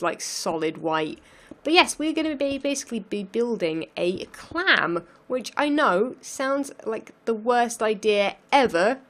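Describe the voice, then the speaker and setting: A young woman hosting a game let's play, chatting and thinking aloud as she builds, her voice a little croaky from losing it. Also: medium pitch, a bit bright. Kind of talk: casual gameplay commentary.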